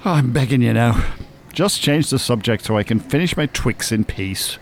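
Older Irish male, acted for radio drama: dry, teasing, wordy, animated pitch, light ambience behind. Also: moderate pace, slight background noise, natural voice. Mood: droll, mock-solemn. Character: dry, droll, mock-pious old married man. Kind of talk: naturalistic radio drama acting.

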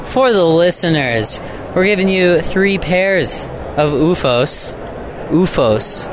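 A man hosting a podcast, interviewing exhibitors at a trade show in a jokey, upbeat way with quick affirmations. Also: on the high side, expressive, noisy background. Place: outdoor trade show floor.

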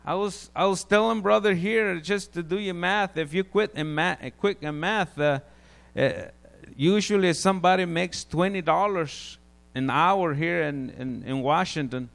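Gravelly Guatemalan-accented preacher. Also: male voice, high voice, a bit bright. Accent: Guatemalan Spanish. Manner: halting, repeats words, restarts often. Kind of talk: informal testimony sermon.

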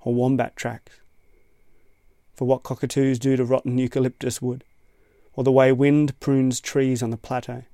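A man from Tasmania with an Australian accent, reading a reflective scripted essay in a measured, thoughtful way. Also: medium pitch, clean tone, dry recording, clean studio sound. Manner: measured, thoughtful, even-toned. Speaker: essay narrator.

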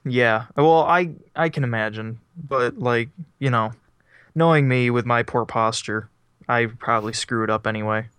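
A young man chats loosely on a homemade podcast, wandering through his thoughts and laughing at himself. His voice is smooth and clear, recorded close and dry on a mic beside him, in a middle pitch. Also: moderate pace, fairly bright tone, no room sound. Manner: rambling, self-deprecating, laughs often. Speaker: podcast co-host. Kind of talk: casual chat. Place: on a couch at home. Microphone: microphone set up beside him.

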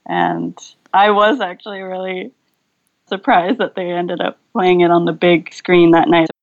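A woman's voice: on the low side, expressive, unhurried, creaky, narrow, tinny audio. A young woman filmmaker, speaking thoughtfully over a video call and searching for her words with fillers and restarts. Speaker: filmmaker guest. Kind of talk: relaxed video-call interview.

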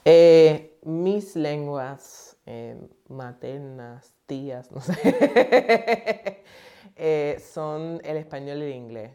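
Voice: medium pitch, expressive, very slow, dark tone. A poet, speaking in a hesitant, thoughtful way with many fillers and restarts in an interview answer. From United States and Puerto Rico.

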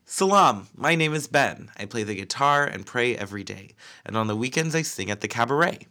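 A man playing an upbeat Californian musician in a storybook, introducing himself in clear statements. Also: mid-range voice, lively intonation, a little fast, pushed and bright. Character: upbeat Californian guitarist and cabaret singer.

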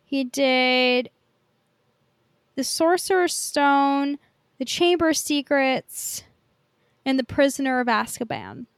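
A woman's voice: high-pitched, animated, takes it slowly, long pauses, dry recording. A woman co-hosting a podcast in casual pop-culture chat, informal and enthusiastic. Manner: informal, enthusiastic, swears freely. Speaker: podcast co-host.

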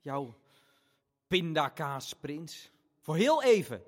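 An actor playing a playful, excitable little brother who tells fairy tales in a theatrical family audio play. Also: male voice, fairly high pitch, takes it slowly. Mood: playful, tender. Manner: exaggerated, playful delivery.

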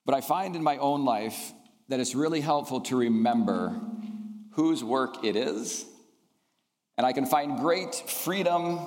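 A man's voice: mid-range voice, darkish tone. Earnest man speaking in a reverberant hall. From Indonesia. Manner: earnest, reflective, with frequent ums. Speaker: math teacher. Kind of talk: chapel talk to students.

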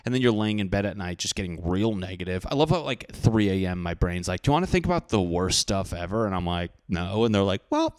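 A man's voice: low-pitched, smooth, no room sound, studio quality. Profane rambling man in his thirties. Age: 30s. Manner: rambling, profane, self-deprecating. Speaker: podcast host. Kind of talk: casual solo podcast monologue.

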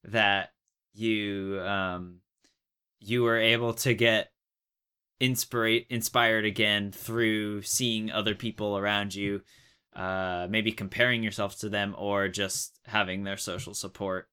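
A young man interviewing someone casually, feeling his way through questions in a tentative, halting manner. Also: fairly low pitch, little pitch movement, bright, forceful tone, clean tone. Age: young adult.

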